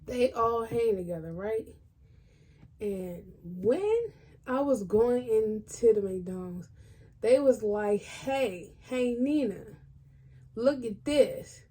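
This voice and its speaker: A woman's voice: a little high, slow pace, warm tone. A woman vlogger telling a personal story in casual, colloquial speech, acting out what people said. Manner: informal, colloquial phrasing.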